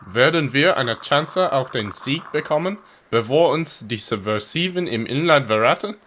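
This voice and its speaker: An actor playing a dutiful, anxious German soldier in an audio drama, formal and deferential. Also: male voice, medium pitch, lively intonation, moderate pace, a bit bright, slight background noise, natural voice. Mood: anxious questioning.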